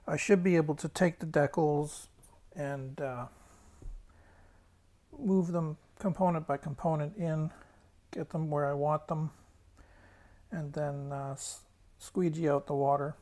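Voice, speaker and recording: A man's voice: a little high, darkish tone. A man giving a casual, hands-on demonstration as a hobbyist, thinking aloud a little hesitantly. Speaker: hobbyist restorer presenting a tutorial.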